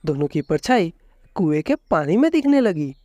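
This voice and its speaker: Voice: mid-range voice, big pitch swings, moderate pace, dark tone, no room sound. A storyteller giving a scripted narration of a children's fable.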